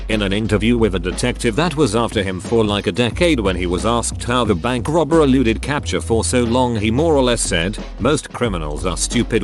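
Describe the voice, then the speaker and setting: A synthetic man's voice reading out forum posts as scripted narration, in a flat and literal manner. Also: on the low side. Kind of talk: scripted text-to-speech narration.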